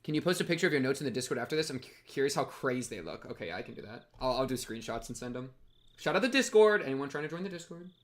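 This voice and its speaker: A man's voice: mid-range voice, very animated, quick pace. A young man giving casual, slangy playthrough commentary on a visual novel and reading text aloud. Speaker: streamer playing a visual novel.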